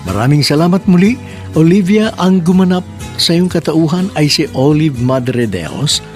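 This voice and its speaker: A man's voice: fairly high pitch, expressive, normal speed, loud music behind the voice. A man hosting and narrating a romantic radio drama in a formal, polite style. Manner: warm, formal, polite address.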